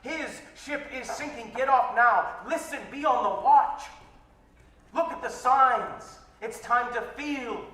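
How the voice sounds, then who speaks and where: Man playing a high-pitched, earnest revolutionary orator. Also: slow pace, bright, forceful tone, heavily acted. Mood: indignant, urgent. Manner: earnest, emphatic oratory with rhetorical questions. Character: male revolutionary orator, earnest, defiant, grave. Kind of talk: dramatic public speech in staged story reading. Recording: roomy live stage, distant microphone.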